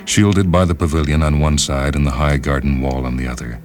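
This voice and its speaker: A man reading literary fiction as a narrator, in a measured, deliberate and solemn dramatic style. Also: extremely low pitch, fairly even intonation, darkish tone. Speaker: narrator, short story reader. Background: background music.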